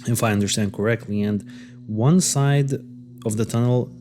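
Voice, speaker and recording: A man's voice: lowish voice, moderate pace, darkish tone, faint music behind. A man co-hosting a podcast, recounting a case in loose, hesitant casual chat full of fillers and restarts. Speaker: podcast co-host. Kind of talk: casual conversational storytelling.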